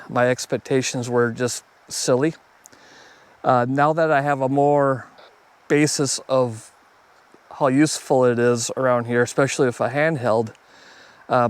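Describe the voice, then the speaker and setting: A man's voice: medium pitch, smooth. A man talking casually and off the cuff as a hobbyist video host reviewing gear, plainspoken and conversational. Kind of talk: casual unscripted product review.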